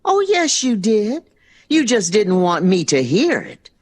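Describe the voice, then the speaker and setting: A woman's voice: medium pitch, huge pitch swings, measured pace. An American actress playing a hurt, loving middle-aged mother in an audio drama, emphatic and emotionally open. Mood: wounded, pleading.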